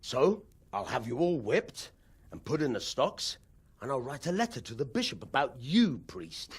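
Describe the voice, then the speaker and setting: A man's voice: medium pitch, very animated, normal speed, slightly rough, heavily acted. An actor, a man, playing an irate, threatening man of authority in a video game cutscene. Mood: furious, threatening.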